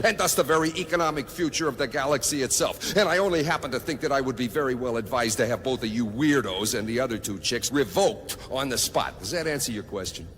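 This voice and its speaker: An actor playing a brash, menacing young corporate executive in a radio comedy, bossy, emphatic and self-important. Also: male voice, on the high side, sharp tone. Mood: boastful, indignant. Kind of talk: radio comedy drama acting. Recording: long reverb, faint background noise.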